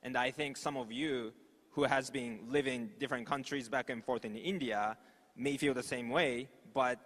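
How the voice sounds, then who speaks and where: A man from Japan with a Japanese accent, a native Japanese speaker, giving an informal, halting conference presentation. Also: mid-range voice, fairly even intonation, fairly bright tone. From Osaka, Japan. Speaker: startup executive, conference speaker. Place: large conference hall stage. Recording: echoey hall with long reverb.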